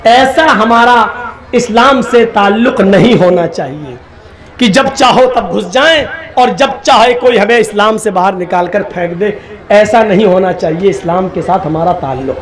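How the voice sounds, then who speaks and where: A male preacher delivering a sermon at a religious gathering, chanting Arabic prayers slowly and exhorting loudly between them. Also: high-pitched, lively intonation. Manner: asks the audience questions directly. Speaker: Islamic preacher.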